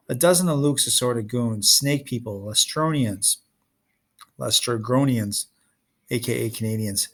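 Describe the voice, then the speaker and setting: A man reading a story aloud as an expressive narrator. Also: medium pitch. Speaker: storybook reader.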